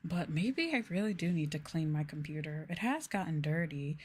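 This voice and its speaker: Woman, lowish voice, casual livestream chat, informal rambling delivery. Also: animated, moderate pace, husky. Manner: informal, rambling, uses "like" and "y'all". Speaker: VTuber livestreamer. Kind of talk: casual soft-spoken livestream chat to viewers.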